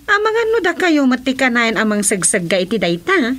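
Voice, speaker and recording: Woman acting a high, lively Ilocano village wife. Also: fairly quick. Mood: teasing, curious. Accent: Ilocano Filipino. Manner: exclamatory, reactive. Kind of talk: Ilocano radio comedy drama acting.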